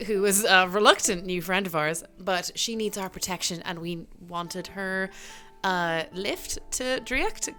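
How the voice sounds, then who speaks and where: A woman's voice: medium pitch, a bit bright. A chatty woman, hesitant and giggly, recapping the last game session as a player, with faint music behind.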